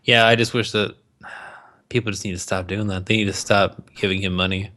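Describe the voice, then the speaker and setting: A man's voice: lowish voice, steady tone, fairly quick, bright, forceful tone. A man co-hosting a podcast in casual, crude banter about movies, with plenty of swearing. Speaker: podcast co-host.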